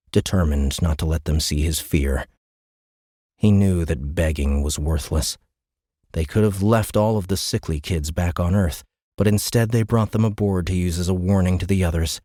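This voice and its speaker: A man narrating a science fiction audiobook in a measured, suspenseful storytelling manner, close to a studio microphone. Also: low voice, warm tone, no echo.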